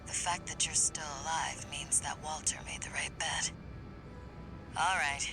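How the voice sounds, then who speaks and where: A woman's voice: sits low, steady tone, a little slow, creaky, music in the background. An actress playing a brash, bossy woman who leads a scrappy mercenary crew in a video game, cocky and commanding. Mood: wry, resolute. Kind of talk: video game radio briefing.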